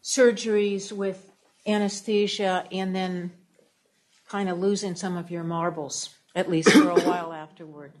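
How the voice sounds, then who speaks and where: Loud-voiced woman asking from the audience. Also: lowish voice, fairly even intonation. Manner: loud, halting question with fillers. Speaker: audience member asking a question.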